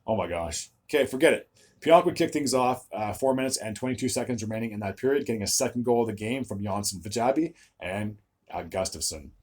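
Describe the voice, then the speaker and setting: Young man, hockey recap host, slightly raspy, lowish voice, trips over names, lots of "uh". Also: a little fast, a little mellow. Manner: stumbles over player names, frequent "uh" fillers. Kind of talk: solo sports recap video.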